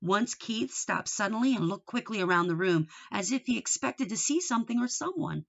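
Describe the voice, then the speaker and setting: A woman reading a children's book aloud in an expressive storytelling manner. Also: medium pitch, normal speed, vocal fry, highs cut off. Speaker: storybook reader.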